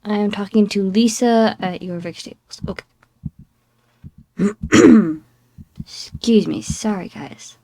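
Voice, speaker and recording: A woman's voice: mid-range voice, slow pace, gravelly, long pauses, warm tone, no echo. A young woman hosting a casual gameplay commentary with a scratchy, just-woken-up voice. She rambles, apologizes and pokes fun at herself. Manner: chatty, rambling, apologetic and self-deprecating. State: scratchy voice after waking up. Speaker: gaming channel host.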